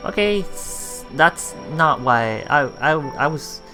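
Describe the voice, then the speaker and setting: A man hosts a visual novel playthrough in a bright voice with animated pitch. He reads the text aloud haltingly, often correcting himself, and drops into casual commentary. Faint music plays behind him. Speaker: let's play host.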